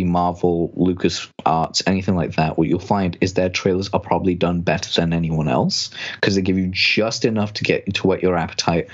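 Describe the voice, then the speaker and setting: A man's voice: low voice, highs cut off. A man with a transatlantic accent and hard R's, chatting casually as a guest about copywriting and voice work. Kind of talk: casual podcast chat.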